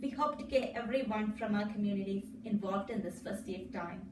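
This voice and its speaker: A woman's voice: medium pitch, fairly quick. A woman librarian from Kerala, India, whose first language is Malayalam, speaking in a friendly, hesitant way with fillers. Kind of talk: casual interview. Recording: echoey room, mic far off, noisy.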